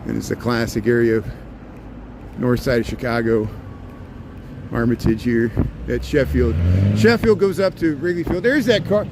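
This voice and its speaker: A man in his forties from near Cincinnati, with a slight Cincinnati-Kentucky twang, giving a rambling, chatty walking-tour commentary on a city street at night and hamming it up for viewers. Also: sits high. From near Cincinnati, US Midwest. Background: faint street and traffic noise.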